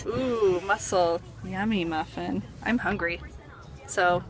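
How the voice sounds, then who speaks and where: A woman's voice: lowish voice, slow. A woman vlogging in a casual, chatty way, rambling with lots of fillers. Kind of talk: casual chat to camera. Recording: noisy background hum.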